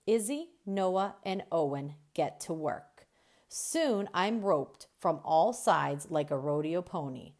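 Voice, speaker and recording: A woman reading a children's book aloud as a storyteller, expressive and deliberate, drawing out key words. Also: on the low side. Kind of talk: expressive read-aloud narration.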